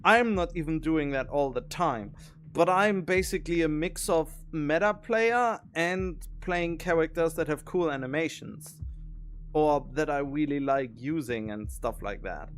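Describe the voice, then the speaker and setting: A man's voice: on the high side, a little slow. A man streaming a video game, thinking aloud in casual commentary with rambling, wondering questions.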